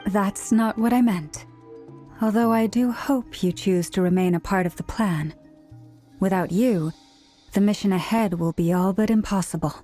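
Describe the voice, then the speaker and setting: A reserved, serious young woman from a video game , acted by a woman, speaks with measured formality. The tone is dark and relaxed at a middling pitch, over faint music. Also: natural voice. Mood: calm, earnest. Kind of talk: English video game dub acting.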